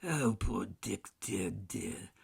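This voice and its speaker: A man with a very raspy voice voicing an old male miser in a storybook reading, exclaiming eagerly. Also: lowish voice, animated, takes it slowly, full character voice. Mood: excited.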